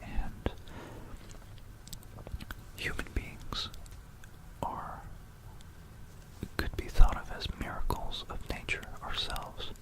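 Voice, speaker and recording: An ASMR presenter narrating history in a hushed, unhurried whisper, repeating words and pausing mid-phrase, close to a stereo microphone. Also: poor audio. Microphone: close stereo microphone for binaural ASMR.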